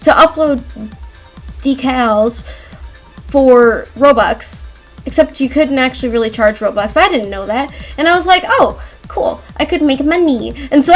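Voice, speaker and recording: High-voiced young woman rambling casually over music. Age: young adult. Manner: giggly, animated, self-deprecating. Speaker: YouTube artist telling personal stories. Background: background music.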